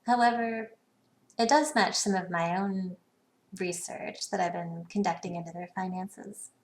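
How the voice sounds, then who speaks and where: An actress playing a poised, worldly corporate professional in a video game, speaking in a knowing, conversational way. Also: female voice, mid-range voice, steady tone, unhurried, lightly acted. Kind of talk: video game dialogue lines.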